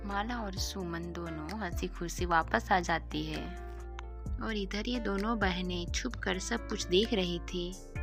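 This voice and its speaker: A woman's voice: on the low side, takes it slowly, over music. A woman narrator reading a scripted moral bedtime story for an animation.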